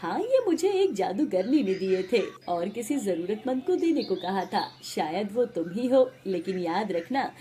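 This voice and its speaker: A woman playing a calm, generous king in an animated moral story, speaking with dignified reassurance. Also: medium pitch, measured pace, few pauses, a little hiss or hum. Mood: solemn.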